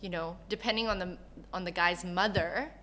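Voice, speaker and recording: A woman from Malaysia who grew up in Canada, talking casually as a podcast guest, laughing often and telling stories in an animated way. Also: on the low side, moderate pace, a little hiss or hum. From Malaysia, raised in Canada. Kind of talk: casual interview chat.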